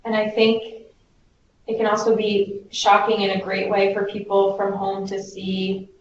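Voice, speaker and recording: A woman's voice: mid-range voice, completely flat delivery, unhurried. A young woman, a volunteer, giving casual, effusive advice on a group call. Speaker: Peace Corps volunteer. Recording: distant, echoey, dull, hissy conference call.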